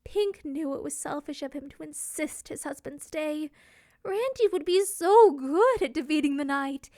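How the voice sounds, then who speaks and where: A woman narrating a scripted romantic story in an expressive, theatrical storytelling manner. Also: high-pitched, unhurried, no room sound, clean studio sound. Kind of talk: scripted dramatic fan fiction narration.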